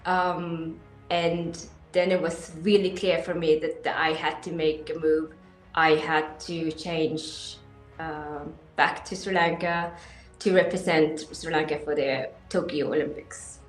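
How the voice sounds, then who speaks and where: A woman in her thirties, raised in Sweden, answers interview questions with eager, halting enthusiasm. Her voice sits fairly low, and the room rings with echo, with faint music underneath. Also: little pitch movement. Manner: enthusiastic, hesitant with many fillers. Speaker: interview guest, equestrian athlete.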